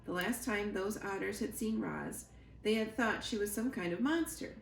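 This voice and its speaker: A woman storyteller giving an expressive read-aloud of a children's book. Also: medium pitch, moderate pace, gravelly. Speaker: library storyteller. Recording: roomy echo, faint background noise.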